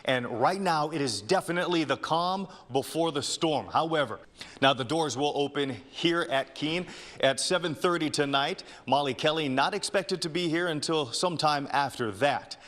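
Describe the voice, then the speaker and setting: A young man reporting the news on local television, with a crisp, measured newscast delivery. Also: on the high side, a bit bright.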